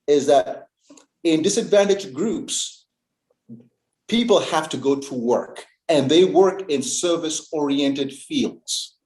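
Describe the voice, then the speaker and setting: Man from Uganda, panel guest, emphatic, slow stressed words, somewhat high voice. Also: darkish tone, reverberant. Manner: earnest and emphatic, stresses key words with long pauses. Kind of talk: panel discussion.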